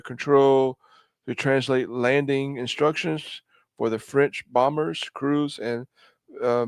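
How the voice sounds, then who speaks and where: A man telling stories casually on a podcast, often halting and searching for his words. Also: mid-range voice, clear, resonant tone, no room sound. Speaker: podcast co-host. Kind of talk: casual storytelling on a live podcast.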